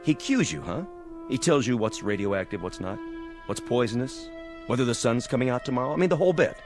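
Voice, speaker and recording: An actor playing a mocking, domineering military major in a radio drama, with a sarcastic, slangy, order-barking delivery. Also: male voice, medium pitch, animated, brisk, music in the background, close to an everyday voice. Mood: mocking amusement.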